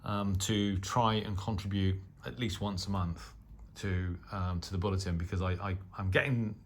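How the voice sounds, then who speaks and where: A man's voice: low voice, fairly even intonation, normal speed, slight background noise. A middle-aged man with a British accent talks casually and unscripted, in a hesitant, rambling, matter-of-fact way as a hobbyist video host.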